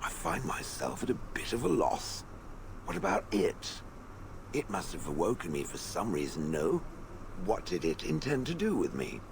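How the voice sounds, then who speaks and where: Deep, gravelly, haughty stone golem. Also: normal speed, noisy background, exaggerated voice. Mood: musing, puzzled. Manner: haughty deadpan, formal phrasing, addresses people as "it". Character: ancient sardonic stone golem, contemptuous, drily bored. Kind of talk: video game character acting.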